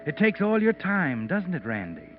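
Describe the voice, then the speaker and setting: Sinister radio narrator, male, insinuating, deliberate, dull vintage recording, music underneath. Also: sits high, big pitch swings. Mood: sinister, knowing. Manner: insinuating, deliberate, addresses the culprit as you. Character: sinister all-knowing male narrator taunting the culprit. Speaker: mystery narrator. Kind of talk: 1940s radio mystery narration.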